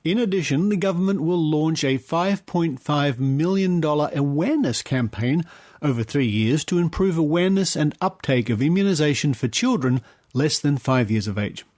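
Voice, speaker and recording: An Australian man hosting a podcast, reading news and commentary in measured, deliberate phrases. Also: fairly high pitch, expressive, a little mellow. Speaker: podcast host. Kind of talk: scripted news commentary, reading reports aloud.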